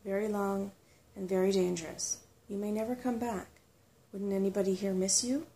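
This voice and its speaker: A calm storybook dragon, kindly but firm, voiced by a woman. He speaks gently and reassuringly, in a mellow tone with a creaky edge. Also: mid-range voice, normal speed, a little hiss or hum, lightly acted. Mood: calm warning. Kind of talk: soft storybook character reading.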